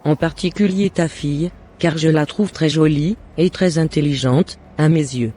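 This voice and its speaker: Man playing a mellow-voiced scheming neighbour woman. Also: fairly high pitch, normal speed, breathy edge. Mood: falsely friendly. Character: jealous, scheming woman neighbour and mother, falsely friendly. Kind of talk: animated moral drama dialogue. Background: faint music.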